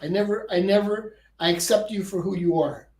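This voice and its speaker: A man's voice: high-pitched, brisk, darkish tone. A man hosting a casual livestream, rambling and repeating words, and he says he stutters. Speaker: livestream host.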